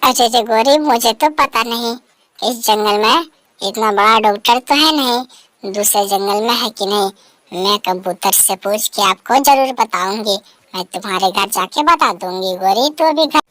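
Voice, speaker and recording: A high, closely dry cartoon bird friend played by a woman. She is a concerned busybody, full of advice, urging others along with repeated phrases. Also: a little slow, fairly bright tone, dry recording. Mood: advising. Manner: urging, repetitive. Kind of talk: Hindi animated bird story dialogue.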